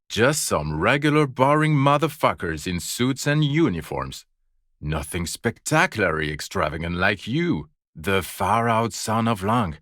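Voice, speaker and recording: An actor playing a world-weary, fed-up police detective in a video game, profane and sneering, with deadpan sarcasm. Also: male voice, low voice, dry recording, studio quality. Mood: mocking sarcasm.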